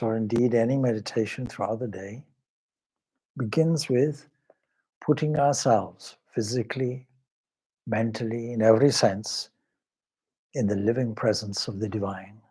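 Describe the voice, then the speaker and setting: A man leading a guided meditation as a swami, speaking in a measured, solemn, devotional way with pauses between short phrases. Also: mid-range voice, mellow, breathy edge.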